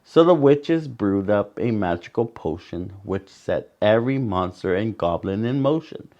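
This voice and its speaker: A man reading a picture book aloud to children as a storyteller, with dramatic pauses and asides to his young listeners. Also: lowish voice, expressive, darkish tone, muffled recording.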